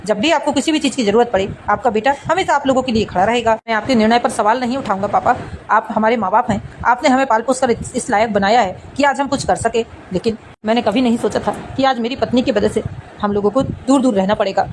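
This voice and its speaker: A woman's voice: on the high side, fast, a little hiss or hum, pitched below the performer's own voice, lightly acted, rough recording. A woman voicing a stern, indignant grown son in a cartoon family drama, emphatic and devoted to his parents. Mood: dutiful, hurt. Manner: scolding, emphatic, dutiful.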